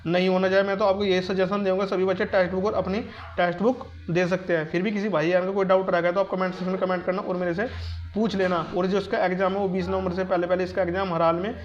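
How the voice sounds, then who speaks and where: A man's voice: high-pitched, little pitch movement, quick pace, soft background music. A man giving informal advice to viewers as a channel host, repeating his points for emphasis. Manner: repetitive, emphatic with self-posed questions. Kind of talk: informal advisory talk to viewers. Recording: dull, lo-fi sound.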